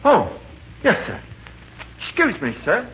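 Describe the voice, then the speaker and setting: Casting: obsequious steward, high male voice, lilting animated delivery, vintage radio drama with dull hissy sound. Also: slow pace, heavily acted. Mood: fussy, deferential. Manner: formal, obsequious, apologetic. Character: polite, deferential, fussy male ship's steward. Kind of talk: 1940s radio acting.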